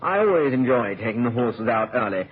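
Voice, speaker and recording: A man plays an affable, polite gentleman in a radio play. His mid-pitched voice is lively and coaxing. The recording is thin and phone-like, with faint noise. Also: a little fast, close to an everyday voice. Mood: eager, coaxing. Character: affable gentleman house guest, keen horseman. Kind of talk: radio drama acting.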